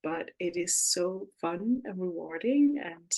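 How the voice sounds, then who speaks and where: A woman's voice: lowish voice, animated, takes it slowly, mellow. A woman with a Dutch accent, a non-native English speaker, earnestly sharing how she runs her podcast. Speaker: podcaster sharing in group call. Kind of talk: casual sharing.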